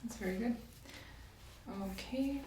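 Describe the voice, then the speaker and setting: A soft-spoken woman doing an ASMR medical roleplay as a doctor, giving gentle, polite step-by-step instructions. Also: on the high side, takes it slowly, distant mic. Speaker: ASMR performer playing a doctor. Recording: roomy sound, off-mic, faint hiss.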